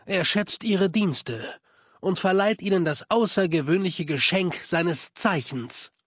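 A man voicing a cold, calculating spymaster of a legion in a video game, speaking in a formal, measured, condescending way. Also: sits high, a bit bright, husky, dry recording, studio quality, exaggerated voice. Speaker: game character voice actor. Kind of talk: dubbed video game dialogue.

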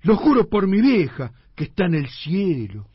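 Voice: mid-range voice, sing-song, normal speed, husky, no room sound, lo-fi sound. An actor playing a cocky, stubborn tenement man in a comic radio play, slangy and emphatic, with an Argentine accent. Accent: Argentine, Buenos Aires slang.

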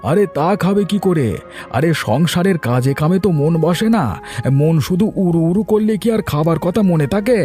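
A man's voice: high-pitched, moderate pace, warm tone, soft background music, natural voice. A man voicing a short-tempered, nagging farmer husband in a cartoon. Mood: annoyed, scolding. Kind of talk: cartoon voice acting.

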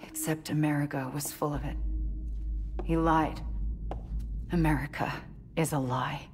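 An actress playing a solemn, secretive woman in a game cutscene, grave and hushed, often slipping into whispers. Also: deep voice, fairly even intonation, unhurried, faint music behind. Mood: solemn, confessional. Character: solemn, secretive woman confessing her doomsday power. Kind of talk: dramatic game cutscene acting.

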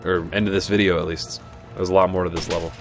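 A man giving relaxed, chatty commentary over a video game playthrough, with frequent asides and fillers. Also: sits low, fast. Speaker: game guide narrator. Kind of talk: casual gameplay commentary. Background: game music and gunfire.

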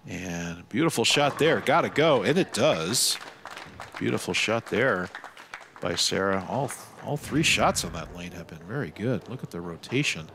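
A man doing live bowling commentary, giving measured analysis with excited calls on shots. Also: on the low side, creaky, slight background noise. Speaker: sports commentator.

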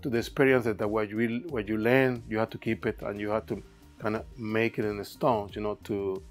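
A man's voice: on the low side, little pitch movement, faint music behind. Cuban-accented man musing on his art. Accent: Cuban Spanish. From Cuba. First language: Spanish. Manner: thoughtful, with halting non-native grammar. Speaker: artist. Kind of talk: reflective talk about his art. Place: cluttered indoor studio workshop.

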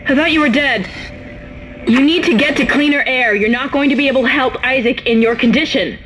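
An actress plays a worried female technician in a sci-fi horror game. Her voice sits a little high as she gives practical, urgent guidance to a teammate, with faint noise around it. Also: a little fast, close to an everyday voice. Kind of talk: video game drama.

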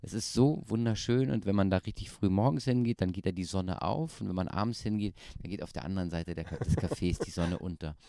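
A man in his thirties talks easily through an interview, his voice low and mellow. He hesitates often with fillers and restarts, staying relaxed. The handheld mic sounds dry and close. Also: a little fast, gravelly, few pauses, no room sound. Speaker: actor interviewee. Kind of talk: casual interview answers. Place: small indoor room with pale walls. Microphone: handheld microphone.

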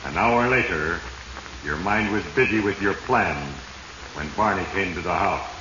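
Sinister male Fate, played by an actor: ominous, deliberate radio narrator, low register, reverberant vintage sound. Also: dull audio, natural voice. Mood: ominous, taunting. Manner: ominous, deliberate, addresses his victim directly. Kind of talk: 1940s radio drama narration. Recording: echoey, noisy 1940s radio recording.

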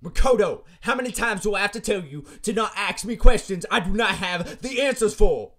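A man voicing an excitable, bickering teenage schoolboy in a fan-made anime dub, exclaiming and repeating himself. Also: high-pitched, fairly quick, distant mic. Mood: exasperated.

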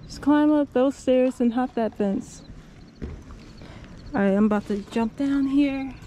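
A young woman vlogging casually as she explores an old fort, excitable and laughing often. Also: high-pitched, a little slow, a little hiss or hum. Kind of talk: casual chat while exploring.

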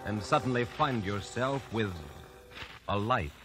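A man's voice: lowish voice, a little slow, over loud music. A man with an American accent narrating dramatically as a 1950s radio announcer, theatrical and portentous. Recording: vintage radio recording.

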